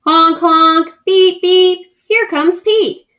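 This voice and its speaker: A woman reading a picture book aloud to children from home, animated and gentle, pausing to ask her young listeners questions. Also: very high voice, muffled recording. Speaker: school librarian reading aloud. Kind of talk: children's read-aloud storytelling.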